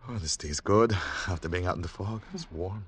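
Low-voiced, grave secret agent played by a man. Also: brisk, lightly acted. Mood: guarded, courteous. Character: wary, courteous foreign confidential agent, a man. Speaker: actor and narrator. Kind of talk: old-time radio drama acting and narration. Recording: noisy vintage radio drama recording.

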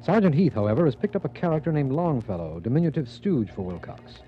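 An actor playing an earnest, businesslike district attorney, speaking formally in a 1940s radio drama. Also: male voice, medium pitch, sing-song, fast, loud music behind the voice, close to an everyday voice. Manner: formal, declarative. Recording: muffled, hissy 1940s radio recording.

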